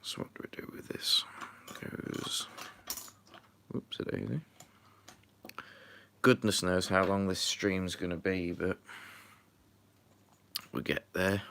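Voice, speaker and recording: A man talks loosely through a live stream, full of ums and half-muttered asides as he works. His voice sits very low with a creaky, gravelly edge. He sounds a little stuffy from a runny nose. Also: steady tone, slow pace, pauses often, pushed and bright, whispered. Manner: rambling, filler-heavy, mutters to himself while working. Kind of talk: casual live-stream chat while building.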